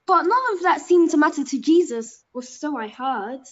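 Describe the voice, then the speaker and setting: A woman playing an eager, naive young gossip in a biblical audio play, curious and excitable. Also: high-pitched, moderate pace, highs cut off. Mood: puzzled.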